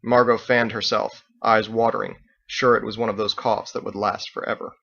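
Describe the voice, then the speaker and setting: A man's voice: on the low side, little pitch movement, moderate pace, vocal fry, a bit bright, lo-fi sound. A man reading his own novel aloud as scripted audiobook narration.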